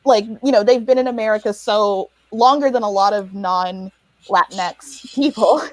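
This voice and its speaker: A woman's voice: medium pitch, a little slow, dry recording, rough recording. A woman on a casual panel, chatting and often laughing, with plenty of fillers.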